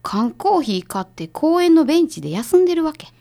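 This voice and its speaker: A sharp, no-nonsense female detective's assistant in a radio drama. Her voice is on the high side, with a Kansai accent. She talks casually and gets straight to the point. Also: very animated, brisk, gravelly, exaggerated voice. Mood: brisk, curious.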